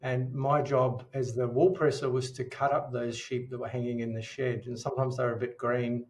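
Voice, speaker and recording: A man's voice: mid-range voice, flat delivery, moderate pace. Middle-aged New Zealand man telling his story. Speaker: podcast guest, dietitian. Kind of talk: interview storytelling.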